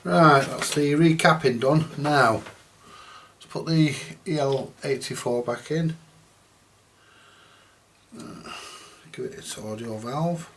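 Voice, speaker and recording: A man giving casual, hands-on workshop commentary as host of a repair video, chatty and halting as he thinks aloud. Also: medium pitch, a bit bright, a little hiss or hum.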